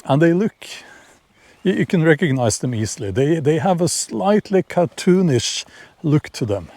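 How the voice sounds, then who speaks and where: A middle-aged man with a Norwegian accent, talking casually to camera outdoors in a vlog, halting and repeating words as he shares opinions. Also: on the high side, sing-song, darkish tone, no room sound. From Norway. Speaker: vlogger. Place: outdoors in nature, near horses.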